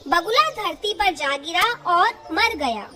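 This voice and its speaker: A woman's voice: very high voice, lively intonation, slow pace, sharp tone. A woman reading a scripted children's fable as narrator. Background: faint music.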